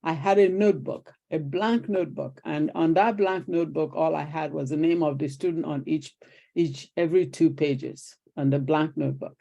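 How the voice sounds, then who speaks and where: Older Nigerian woman, gravelly and mellow. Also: low-pitched, moderate pace, dull audio. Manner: animated storyteller acting out quoted exchanges. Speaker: guest, retired teacher. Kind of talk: conversational interview storytelling.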